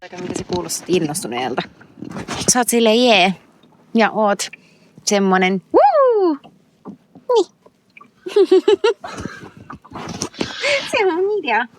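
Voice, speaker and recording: A woman's voice: on the high side, big pitch swings, slow, pauses often, slight background noise. Blunt, teasing Finnish woman. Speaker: friend of the host. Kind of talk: casual chat. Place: outdoors on a boat.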